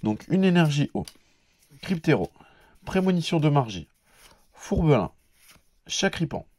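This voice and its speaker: A man with a French accent hosting a casual card unboxing, hesitating often and listing cards in a steady patter while he has a cold. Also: fairly high pitch, a little slow. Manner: hesitant, frequent filler sounds. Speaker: YouTube channel host.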